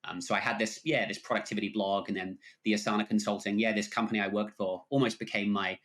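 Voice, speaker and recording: A man's voice: on the low side, steady tone, fast, a bit bright. A man in his thirties with a hybrid English and New Zealand accent, a guest explaining his work in a casual podcast interview. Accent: English with New Zealand mix. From England. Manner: friendly, explanatory, frequent fillers.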